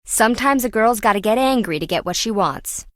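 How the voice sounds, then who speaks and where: A woman's voice: fairly high pitch, animated, brisk, no room sound, clean studio sound, lightly acted. An actress playing a greedy, sarcastic treasure-hunting businesswoman in a video game, blunt and dismissive. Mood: brash, blunt. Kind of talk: video game character dialogue.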